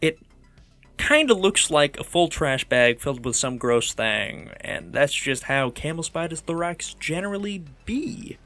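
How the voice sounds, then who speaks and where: A man's voice: on the high side, very animated, measured pace, sharp tone. A man narrating his own creative tutorial video casually, with playful, theatrical, comedic delivery. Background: background music.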